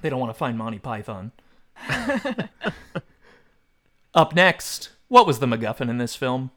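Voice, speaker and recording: A man's voice: mid-range voice, sing-song, a little slow, a bit bright. A man hosting a podcast film quiz with joking banter and a mock-formal quizmaster delivery. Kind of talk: comic film trivia banter.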